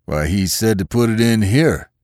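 A man's voice: lowish voice, expressive, moderate pace, darkish tone, dry recording, pitched above the performer's own voice. Male narrator as young rustic hired hand, thick tones, easygoing, bouncing intonation, dry recording. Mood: wondering.

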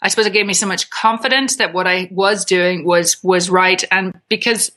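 A woman's voice: lowish voice, fairly even intonation, normal speed, bright, forceful tone. A woman speaking casually as an interview guest and author, telling her own personal story.

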